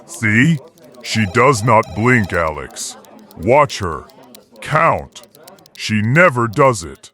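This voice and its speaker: A man's voice: fairly low pitch, very animated, drawn-out words, fairly bright tone, faint music behind, full character voice. Man playing a jittery, tense office worker. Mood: suspicious, hushed. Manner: urgent, short insistent phrases. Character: jittery, suspicious young male call center agent. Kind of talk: scripted audio drama acting.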